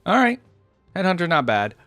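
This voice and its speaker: A man's voice: sits high, very animated, normal speed, music in the background. A man hosting a casual reaction commentary, speaking informally and musing aloud. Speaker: reaction video host.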